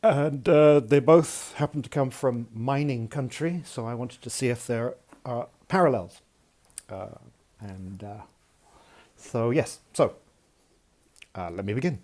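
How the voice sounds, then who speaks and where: A man from Malaysia reads aloud from his own writing over a video call. His voice is mellow and dark. He stumbles, restarts and fills gaps with ums before settling into the text. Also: medium pitch. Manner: halting, self-deprecating, many fillers and restarts. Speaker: author reading his work. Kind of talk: casual intro then reading aloud.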